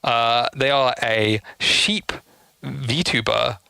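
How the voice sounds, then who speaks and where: A man talks casually to his livestream audience in a bright voice with frequent vocal fry. His delivery is effusive and peppered with swearing. The sound is dry, with little room around it. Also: mid-range voice, steady tone, drawn-out words, no room sound. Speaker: streamer hosting a live game stream.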